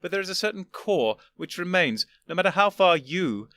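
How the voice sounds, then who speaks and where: A man doing a condescending elderly gentleman. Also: high voice, lively intonation, moderate pace, no room sound, voice pushed up, exaggerated voice. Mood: lecturing. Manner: precise, lecturing, wearily patient.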